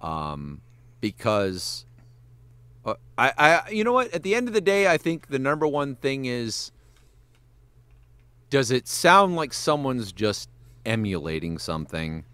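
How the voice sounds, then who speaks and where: Man, voice actor, casual advice, swears a lot, lively pitch, dry close sound. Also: unhurried, pauses often, clean tone, no room sound. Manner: swears freely, animated, demonstrates voices mid-sentence. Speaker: guest voice actor and animator.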